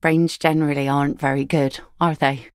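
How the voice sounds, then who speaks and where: A woman voicing an easygoing, philosophical seafaring mother in a video game, with a wry, gently teasing, folksy manner. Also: sits low, level tone, moderate pace, clean tone, dry recording, studio quality, close to an everyday voice. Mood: warm, resigned. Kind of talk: naturalistic video game dialogue acting.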